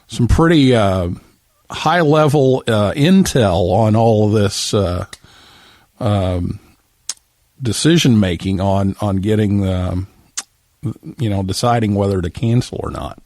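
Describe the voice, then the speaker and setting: American male host for a casual live talk show, lower register, hesitant conversational phrasing, very dry sound. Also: slow pace, pauses often, darkish tone, no echo. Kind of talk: casual live interview hosting.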